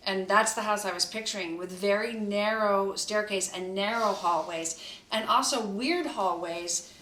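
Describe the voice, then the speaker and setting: A woman cast member recalling things thoughtfully and slowly, with restarts. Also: mid-range voice, bright, forceful tone. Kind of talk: reflective guest chat. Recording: echoey room, distant mic.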